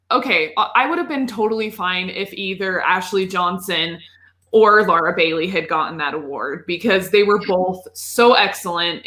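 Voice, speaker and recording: A woman's voice: on the low side, bright, forceful tone. A woman co-hosting a casual podcast about video games, talking informally in rambling, emphatic asides. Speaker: podcast co-host.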